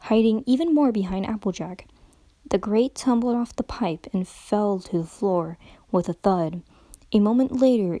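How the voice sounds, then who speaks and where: A woman giving a dramatic reading of a story as narrator, with expressive storytelling. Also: medium pitch, no room sound. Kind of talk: dramatic reading of fan fiction.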